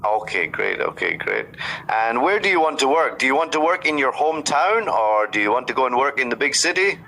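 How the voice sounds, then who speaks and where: A man from Scotland, tutoring English over a video call in a patient, encouraging way and explaining words slowly. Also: medium pitch, sing-song, vocal fry, pushed and bright, rough recording. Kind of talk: casual conversation lesson.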